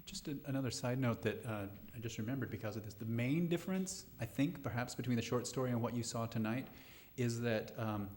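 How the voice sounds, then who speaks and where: A middle-aged man speaking thoughtfully and haltingly as a playwright answering questions at a live post-show discussion. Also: mid-range voice, darkish tone, a little hiss or hum. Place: live radio play venue before a studio audience.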